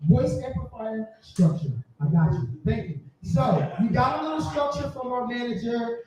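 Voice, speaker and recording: A man's voice: very high voice, normal speed, a little mellow, a little hiss or hum. A man in his forties telling stories casually and boisterously to a live audience, often repeating phrases for effect. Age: 40s. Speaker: podcaster telling their story at a live event. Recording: distant mic, very echoey room, muffled.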